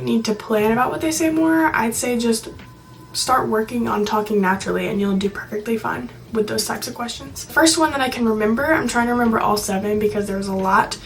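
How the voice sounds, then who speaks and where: Higher-voiced young woman, slightly distant mic. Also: creaky. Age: young adult. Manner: chatty, informal, reassuring. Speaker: video blogger. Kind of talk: casual advice vlog to viewers.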